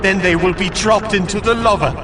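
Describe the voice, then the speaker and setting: A man's voice: sits high, brisk, background noise, pitched above the performer's own voice, natural voice. A man voicing a goblin sidekick for an audio storybook, announcing menace with glee. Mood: menacing glee.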